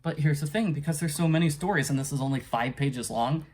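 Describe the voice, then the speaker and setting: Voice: medium pitch, quick pace, darkish tone. Casual vlog-style book reviewer, unscripted and hesitant, rambles and trails off with small chuckles.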